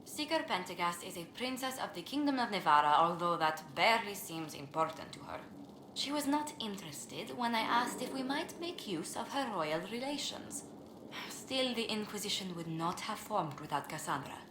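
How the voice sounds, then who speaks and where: A woman playing a courteous, tactful court diplomat in a fantasy game, speaking in a polite, measured, formal way. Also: mid-range voice, very animated, lightly acted. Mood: courteous, composed. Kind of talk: scripted game dialogue. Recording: echoey room, clearly noisy game capture.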